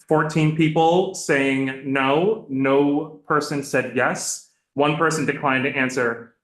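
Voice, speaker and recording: A man speaking as a victim advocate before a legislative committee, loud and hesitant with many fillers. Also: mid-range voice. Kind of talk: committee testimony. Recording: echoey hearing room.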